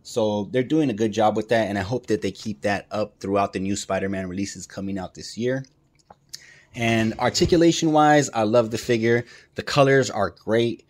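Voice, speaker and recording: A man's voice: on the low side, normal speed. A man hosting a casual toy review, speaking informally and enthusiastically with plenty of slang.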